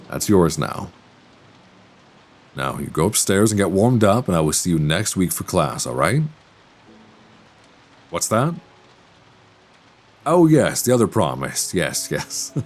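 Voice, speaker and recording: A man playing a kindly, bookish, youngish professor with a gently flirtatious, reassuring manner in an intimate audio roleplay, close on a binaural mic. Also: low voice, full character voice. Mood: tender, teasing. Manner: soft chuckles, reassuring, gently teasing, musing. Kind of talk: intimate audio roleplay, one side of a conversation. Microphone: close binaural microphone.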